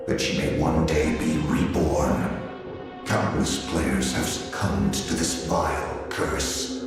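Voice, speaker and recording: An actor playing a solemn, mysterious plotter in a video game, grave, formal and theatrical in delivery. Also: male voice, deep voice. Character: solemn, mysterious male plotter pleading for a hero's help. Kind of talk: video game cutscene acting. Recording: cavernous reverb under loud music.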